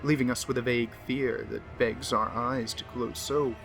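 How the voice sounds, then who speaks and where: A man voicing a pompous, unhinged occult diarist who scorns humanity, in theatrical, deliberate horror narration that lingers on words. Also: medium pitch, little pitch movement, gravelly, music in the background, close to an everyday voice. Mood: scornful, grandiose. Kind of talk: dramatic horror story narration.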